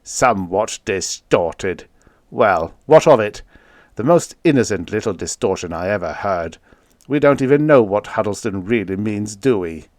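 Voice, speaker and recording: Man acting a curt, low-voiced scheming scientist. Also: expressive, normal speed, darkish tone, no room sound, clean studio sound, lightly acted. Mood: angry, scornful. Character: contemptuous, scheming, irritable male scientist. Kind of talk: audiobook character acting.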